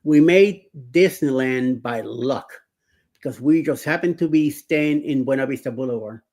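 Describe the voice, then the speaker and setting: A man in his sixties hosting a casual chat, telling stories with many pauses, fillers and restarts. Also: medium pitch, darkish tone. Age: 60s. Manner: rambling, many fillers and restarts, laughs often. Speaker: show host. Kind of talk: casual live-stream chat.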